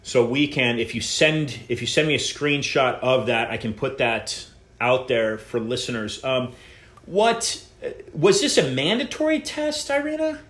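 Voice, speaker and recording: A man hosting a live call-in show, introducing and questioning a guest in a halting way, with many restarts. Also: medium pitch, big pitch swings, a bit bright. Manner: halting, full of restarts and fillers. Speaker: podcast host.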